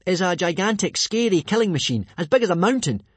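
A man voicing a plucky, quick-thinking young boy in a children's audiobook, anxious but bold, with urgent, emphatic delivery. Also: high voice, big pitch swings, husky, no echo, pitched above the performer's own voice, full character voice. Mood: boastful.

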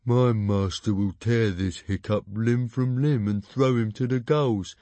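Man as mean Viking bully, hulking, gloating, slow menacing delivery, children's storybook, dry sound. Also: mid-range voice, warm tone, dry recording, close to an everyday voice.

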